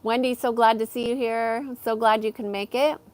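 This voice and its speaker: A woman hosting a live stream from her backyard, talking slowly and softly, pausing with ums as she reads and answers chat comments. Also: on the high side, fairly even intonation. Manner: slow, soft-spoken, with frequent ums.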